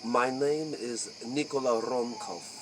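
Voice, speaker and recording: A man playing an aloof, ancient aristocratic vampire count in a spoof interview, with an Eastern European accent and halting, pompous broken English. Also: mid-range voice, slight background noise, lightly acted. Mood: solemn. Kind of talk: improvised spoof interview in character.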